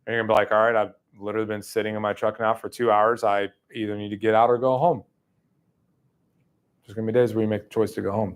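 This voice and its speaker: A man in his thirties giving a live sales training talk in a room, casual and chatty with many fillers. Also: mid-range voice.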